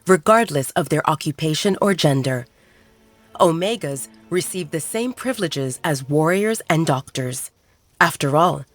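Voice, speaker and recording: A woman reading scripted dramatic narration as the narrator of an audio story. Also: low voice. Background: background music.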